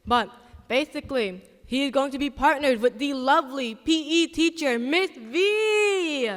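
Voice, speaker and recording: A young man with a very high, bright voice hosts a school assembly, chatty and teasing as he jokes with the crowd. His handheld mic rings out with long hall reverb. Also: lively intonation, a little slow. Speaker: student event host. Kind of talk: joking live interview on stage.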